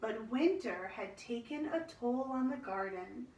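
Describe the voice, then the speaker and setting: A woman reading a children's storybook aloud in an expressive, measured storytelling manner. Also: fairly high pitch, slight background noise. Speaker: storybook reader. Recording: roomy echo, distant microphone.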